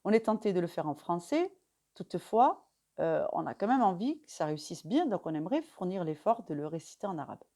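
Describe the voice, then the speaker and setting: A woman teaching a religious study method in French, speaking calmly and measuredly, with frequent pauses and fillers. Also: on the low side. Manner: calm, measured, pausing often with fillers. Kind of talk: instructional talk to camera.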